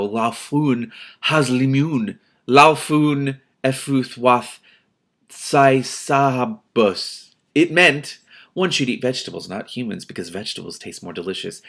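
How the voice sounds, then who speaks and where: An expressive, excitable man reading a book aloud as a host, breaking in with his own commentary. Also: medium pitch. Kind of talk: expressive audiobook-style reading with spontaneous commentary.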